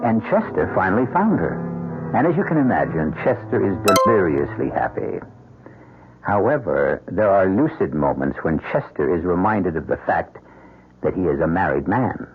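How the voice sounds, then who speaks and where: A man's voice: sits low, very animated, moderate pace, husky, exaggerated voice. A man playing the wry, philosophical host of a radio mystery play, narrating theatrically. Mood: musing. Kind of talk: theatrical scripted narration, old-time radio. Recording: tinny old radio broadcast, loud music.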